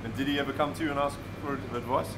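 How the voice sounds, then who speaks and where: A man hosting the show, putting brisk, friendly questions to a guest in a supporters club bar. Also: medium pitch, fairly even intonation, background noise. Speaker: show host. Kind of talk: casual interview.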